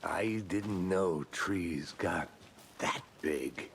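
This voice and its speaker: An actor playing a dry, skeptical veteran FBI agent in a video game, gravelly and deadpan, sparing with words. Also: male voice, low voice, extremely slow, a little hiss or hum, heavily acted. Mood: skeptical, wry. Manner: deadpan, laconic. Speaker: detective partner character.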